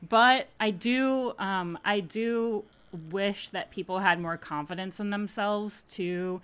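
A woman's voice: mid-range voice, slow pace, a bit bright, clean tone. A woman chatting casually on a podcast in blunt, profane slang. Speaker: illustrator and small brand owner on a podcast. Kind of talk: casual chat.

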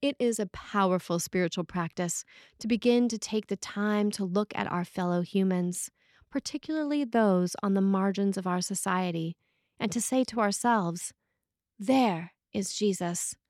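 Woman, priest, scripted sermon reading, deliberate phrasing, medium pitch, very dry recording. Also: darkish tone, no echo.

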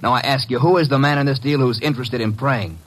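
An actor with an American accent playing a wisecracking, impatient detective's assistant in an old radio drama, slangy, brisk and sardonic. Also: male voice, mid-range voice, lightly acted. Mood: exasperated. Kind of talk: old-time radio detective acting and narration. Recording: faintly noisy old radio recording.

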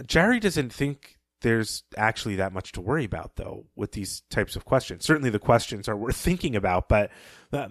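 Low-voiced man recapping a story. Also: dry recording, studio quality. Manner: thoughtful, explanatory, with occasional asides. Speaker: podcast host.

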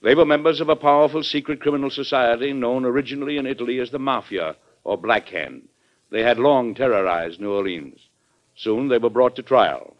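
An actor playing a genial, plain-spoken president who tells his own story in a measured, folksy way in an old-time radio drama. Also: medium pitch, lightly acted. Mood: measured storytelling. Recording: dull old radio recording, background music.

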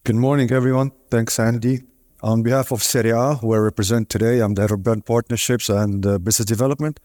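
A man's voice: mid-range voice, steady tone, quick pace, a little mellow, dry recording, studio quality. A man in his forties from Italy, speaking on a conference panel in a reflective, storytelling way with some hesitations. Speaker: league executive panelist. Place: conference stage.

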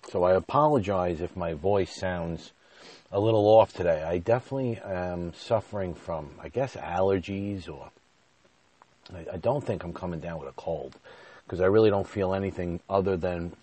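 A man's voice: low voice, normal speed, dark tone. A middle-aged man hosting his own podcast, talking at length in an earnest, rambling way, sounding nasal from a stuffy nose and a scratchy throat. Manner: rambling, earnest, with frequent fillers. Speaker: podcast host. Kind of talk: solo podcast monologue.

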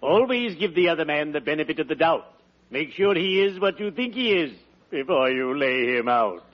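An actor playing a courtly, friendly undertaker in 1940s radio comedy, solemn and pompously formal with a macabre wit. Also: male voice, a little high, lively intonation, normal speed, lo-fi sound, close to an everyday voice. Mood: courtly advising. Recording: noisy old radio recording.

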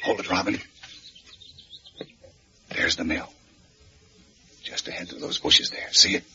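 An actor playing a commanding American crime-fighting hero in an old radio serial, brisk, authoritative and dryly wry. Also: male voice, low voice, huge pitch swings, pushed and bright, breathy edge, heavily acted. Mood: hushed, wry. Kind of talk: 1940s radio serial acting. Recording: noisy, muffled old radio recording.

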